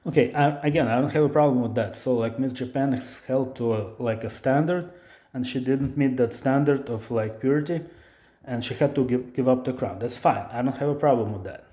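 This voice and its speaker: Indignant male vlog host, mellow voice, profane repetitive ranting, echoey room. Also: mid-range voice, a little fast. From Ukraine. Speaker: tech channel host. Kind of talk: ranting video commentary.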